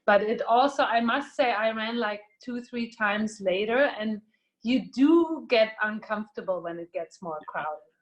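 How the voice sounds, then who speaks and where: A woman's voice: on the high side, lively intonation, measured pace, poor audio. A woman from Germany, whose first language is Slovak, chatting hesitantly as a guest in an interview. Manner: hesitant, restarts often. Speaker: guest athlete. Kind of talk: casual interview chat.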